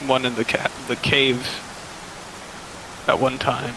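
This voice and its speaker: A man's voice: medium pitch, flat delivery, slow pace, bright, forceful tone, a little hiss or hum, poor audio. A man playing an uneasy, questioning villager, speaking hesitantly in improvised fantasy roleplay in a game. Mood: uneasy, doubtful.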